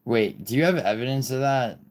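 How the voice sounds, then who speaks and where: A man's voice: mid-range voice, expressive, a little fast, a little mellow. A young man hosting a casual livestream, rambling, swearing and reading viewer chat aloud. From born in Italy, raised in New York City. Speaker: livestream host.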